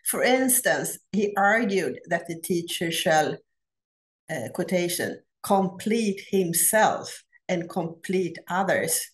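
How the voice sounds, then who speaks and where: A middle-aged Swedish woman presents her research over a laptop webcam. She speaks in English with a Swedish accent, at an unhurried, very slow pace. Her delivery is careful and deliberate, with small hesitations between phrases. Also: mid-range voice. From Sweden. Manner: measured, deliberate, with frequent "uh" pauses. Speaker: academic researcher presenting a paper. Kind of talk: prepared conference talk. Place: home office. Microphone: laptop webcam on a video call.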